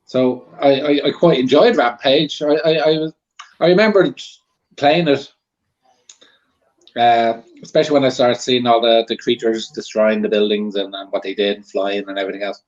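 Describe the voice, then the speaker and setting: A man chatting casually as a panel guest, halting and repeating his words. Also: medium pitch, fairly even intonation. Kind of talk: casual chat. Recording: dull lo-fi audio.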